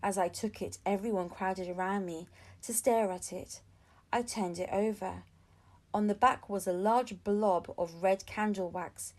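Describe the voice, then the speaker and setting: A woman's voice: mid-range voice, unhurried. A woman reading a children's storybook aloud, narrating expressively as an audiobook reader. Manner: expressive storytelling with acted-out dialogue.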